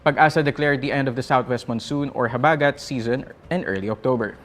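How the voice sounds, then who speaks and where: A man with a Filipino accent presenting a scripted news roundup in a formal, measured way. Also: mid-range voice, fairly bright tone, slight background noise.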